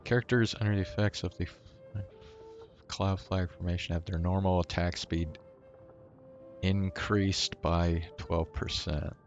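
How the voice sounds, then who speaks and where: Male game streamer, deep voice, unhurried and low-key, casual commentary with game music behind. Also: steady tone, muffled recording. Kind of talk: casual live gameplay commentary.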